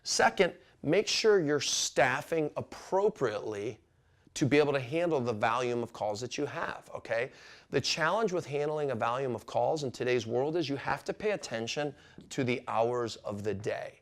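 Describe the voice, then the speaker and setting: A man's voice: mid-range voice, animated, moderate pace. Man giving an emphatic instructional explainer. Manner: emphatic, with frequent "okay?" checks. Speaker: presenter of an instructional business video series.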